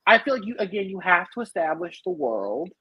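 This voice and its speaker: A woman's voice: fairly low pitch, big pitch swings, brisk, breathy edge, no room sound, lo-fi sound. A woman in a casual group podcast discussion, speaking in an emphatic, playful, slangy way as a panelist. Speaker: podcast panelist reviewing a film musical.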